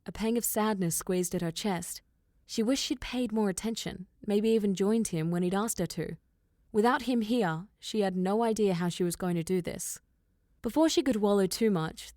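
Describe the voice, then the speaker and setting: A woman's voice: mid-range voice, dark tone, dry recording, studio quality. A woman reading scripted fiction as an audiobook narrator.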